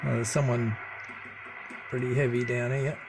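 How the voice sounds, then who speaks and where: A man giving a casual hands-on demonstration as a radio hobbyist, with a low-key, halting delivery. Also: little pitch movement. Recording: noisy room with radio static. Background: CB radio chatter and static.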